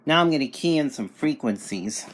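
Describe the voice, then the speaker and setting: A man's voice: medium pitch, lively intonation, normal speed. A man casually demonstrating and reviewing a radio, reading out station details in a matter-of-fact way. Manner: matter-of-fact, reading out call signs and distances. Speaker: reviewer giving a radio reception test.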